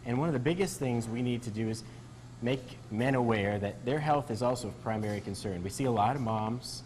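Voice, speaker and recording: A man's voice: mid-range voice, a little fast, a little mellow, slight background noise, low-quality recording. A man speaking as a doctor being interviewed, explaining things in a conversational way.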